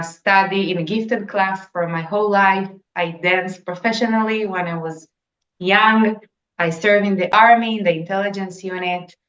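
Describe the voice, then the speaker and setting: A young Israeli woman whose first language is Hebrew, speaking earnestly and excitedly as an interview guest, sometimes searching for English words. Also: lowish voice, roomy sound, dull audio. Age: young adult. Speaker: egg donor guest. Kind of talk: webinar interview.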